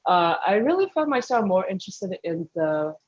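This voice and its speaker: A speaker answers interview questions at a mid pitch, thinking aloud with frequent ums and restarted sentences. The audio sounds dull and lo-fi. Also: very animated, normal speed, husky. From Taiwan. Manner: thoughtful, lots of ums and restarts. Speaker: guest speaker, engineering manager.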